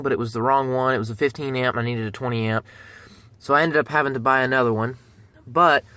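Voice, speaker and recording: A man's voice: mid-range voice, a bit bright, no room sound. Casual guy giving a dry-sounding DIY walkthrough. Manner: plain-spoken, informal step-by-step explaining. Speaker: vlogger presenting a DIY tutorial. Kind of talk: casual how-to narration.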